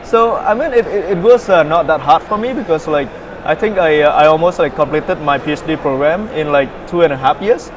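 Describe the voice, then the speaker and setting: Halting young man from Vietnam. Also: fairly high pitch, noisy background. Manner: halting, many fillers and repeated words. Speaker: startup founder, interview guest. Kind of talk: casual interview answers.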